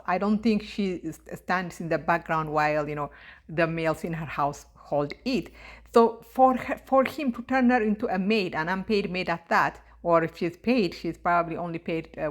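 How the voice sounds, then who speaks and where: A young woman with a thick East African accent, talking in a casual monologue, indignant and halting, with many fillers and restarts. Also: on the low side. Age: young adult. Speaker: YouTube commentator.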